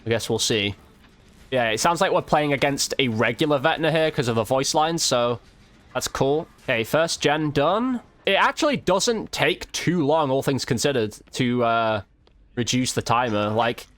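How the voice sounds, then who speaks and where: A man's voice: mid-range voice, animated, normal speed, a bit bright, no echo. A man giving casual, informal gameplay commentary as a video host, with slang and mild swearing.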